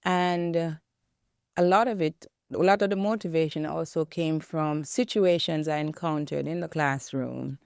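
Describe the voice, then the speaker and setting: A woman in her fifties from Jamaica, with a Jamaican accent, answering interview questions in a reflective, measured way. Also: low-pitched, steady tone, creaky, darkish tone, smooth, dry recording, clean studio sound. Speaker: guest, educator and author. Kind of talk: interview conversation.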